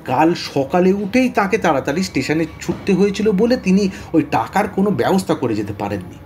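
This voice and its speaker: An actor playing a talkative, excitable middle-aged police detective in an audio drama, blustery, often stammering and repeating words. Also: male voice, high voice, fairly quick, faint music behind. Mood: grave, explanatory. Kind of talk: Bengali audio story acting.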